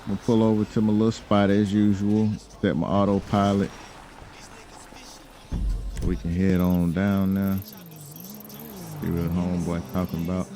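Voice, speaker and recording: A man's voice: low voice, level tone, unhurried, long pauses, background noise. An American man giving casual, chatty gameplay commentary in a slangy, laid-back, rambling way. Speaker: gaming YouTuber hosting a roleplay video.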